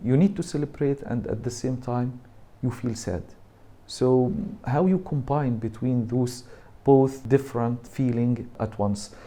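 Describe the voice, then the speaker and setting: A man from Gaza with a Palestinian Arabic accent, giving a personal account in halting non-native English, often searching for words. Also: medium pitch, dark tone. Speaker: university lecturer giving personal testimony.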